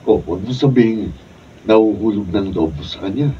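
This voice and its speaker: Lowish, encouraging Filipino buddy. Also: male voice, expressive, brisk, breathy edge. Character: encouraging, straight-talking male friend of a professor. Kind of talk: Filipino radio drama acting. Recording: muffled, distant mic, faint noise.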